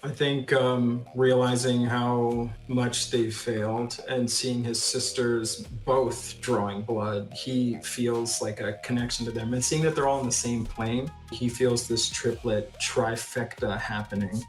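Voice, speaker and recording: A man's voice: medium pitch, flat delivery, unhurried, few pauses. A man playing a tabletop fantasy game, casually narrating what his character does. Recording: roomy sound with music behind.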